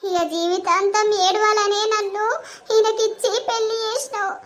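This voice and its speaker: Woman doing a sulky young bride, high voice. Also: steady tone, normal speed, crowd noise. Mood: reproachful. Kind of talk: village drama acting.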